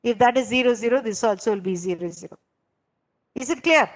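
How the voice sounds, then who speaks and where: A woman's voice: a little high, brisk. A woman leading a training lesson, explaining step by step, repeating herself and often checking that learners understand. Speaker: trainer leading a clinical interviewing course. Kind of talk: interactive online lesson, explaining and quizzing participants.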